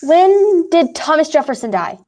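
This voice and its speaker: A woman's voice: high voice, big pitch swings, unhurried, warm tone, full character voice. A teenage girl in a school radio play acting an earnest, questioning kid who worries. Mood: anxious. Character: worried, questioning kid anxious about missing mother.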